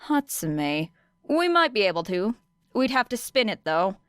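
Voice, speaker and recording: A woman's voice: mid-range voice, big pitch swings, measured pace, clear, resonant tone, dry recording, studio quality. A woman voicing a brash, teasing teenage girl student with blunt, sarcastic delivery in an audiobook. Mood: matter-of-fact. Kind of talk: audiobook character dialogue.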